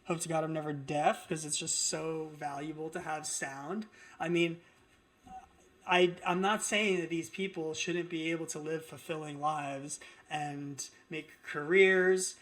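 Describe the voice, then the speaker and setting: A man's voice: on the high side, fairly even intonation, unhurried, fairly bright tone. A man giving opinionated, off-the-cuff political commentary as a host, speaking indignantly and emphatically and often repeating his phrases for stress.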